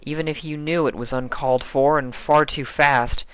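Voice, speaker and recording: A man's voice: a little high, fairly even intonation, moderate pace, a bit bright, smooth, no room sound, pitched above the performer's own voice, natural voice. A man voicing an anxious, fierce young female unicorn pony in a story reading. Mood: earnest, consoling. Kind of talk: fan fiction character reading.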